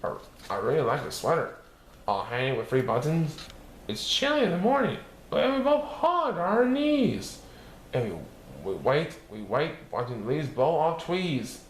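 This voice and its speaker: A man reading a picture book aloud as a storyteller, expressive and sing-song, playing with repeated words. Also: a little high, a little slow. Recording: roomy echo with faint background noise.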